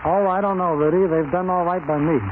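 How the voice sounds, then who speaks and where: Male policeman as 1930s radio guest, high voice, plain-spoken storytelling, very tinny broadcast sound. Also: fairly even intonation, fairly quick, very noisy.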